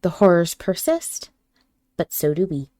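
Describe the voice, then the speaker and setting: A woman's voice: on the low side, big pitch swings, measured pace, mellow, dry recording, studio quality. A woman hosting a fan podcast, speaking in an encouraging, appreciative way. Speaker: podcast host. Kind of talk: casual fan podcast chat and scripted outro.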